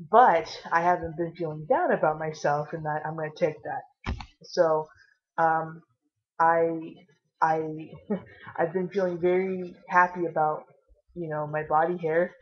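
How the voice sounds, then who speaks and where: A tired, caffeinated woman vlogging casually with a low voice, rambling and swearing now and then. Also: takes it slowly, pauses often, lo-fi sound.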